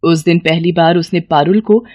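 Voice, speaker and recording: A woman's voice: on the low side, fairly even intonation, normal speed, darkish tone, dry recording, clean studio sound. A woman reading scripted moral-story narration as the storyteller.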